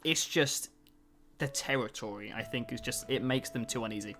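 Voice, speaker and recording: A man's voice: mid-range voice, normal speed, over music. A man in his twenties chatting casually on a livestream, answering chat and joking at his own expense. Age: 20s. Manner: chatty, self-mocking, answers chat between tasks. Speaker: streamer.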